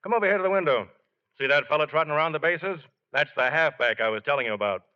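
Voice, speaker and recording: A man's voice: on the high side, lively intonation, fast, clean tone, lightly acted. A man playing a hearty, boastful college football coach in 1940s radio acting. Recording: muffled old radio recording.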